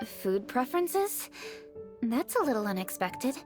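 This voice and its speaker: A serious young woman official talks in a fairly high voice. She is brisk, diligent and matter-of-fact, a video game character with some music behind her. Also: sing-song. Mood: earnest.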